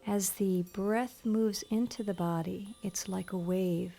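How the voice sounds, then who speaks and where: A woman leading a guided meditation in a soothing, gentle, instructional manner. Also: medium pitch, steady tone, slow, creaky. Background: soft meditation music with chimes.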